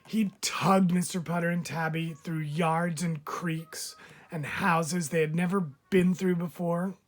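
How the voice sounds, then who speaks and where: A man's voice: a little high, steady tone, measured pace. A man reading a children's story aloud like a teacher, drawing out phrase endings for emphasis.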